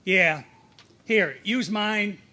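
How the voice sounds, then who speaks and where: A man playing a deadpan police lieutenant in a live comic radio play. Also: high voice, extremely slow, heavily acted. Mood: puzzled.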